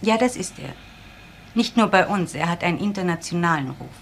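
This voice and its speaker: An efficient, guarded laboratory assistant in a crime radio play. She is matter-of-fact and clipped, with a gravelly creak. There is faint noise behind her. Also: lowish voice, lively intonation, a little fast. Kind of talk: German crime radio drama acting.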